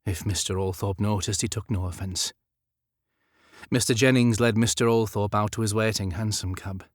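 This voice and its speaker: A man narrates a historical novel in a lowish voice. His storytelling is measured and dramatic. The sound is dry and studio-close. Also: fairly even intonation, dry recording. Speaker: audiobook narrator.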